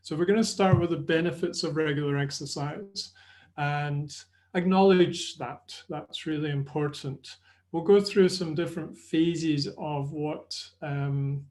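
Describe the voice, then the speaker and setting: A middle-aged Scottish man with a slightly higher voice talks through a webinar from a small indoor room. He speaks on a video call mic in a friendly, chatty way, full of ums and quick restarts. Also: a little mellow. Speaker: webinar presenter. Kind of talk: live online lecture. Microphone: video call webcam.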